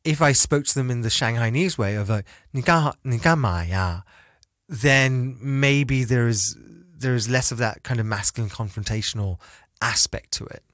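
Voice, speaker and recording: Man, middle pitch, easygoing storyteller, lots of fillers, dry close mic. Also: moderate pace, no room sound. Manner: relaxed storytelling, fillers like "like" and "you know". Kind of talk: casual chat.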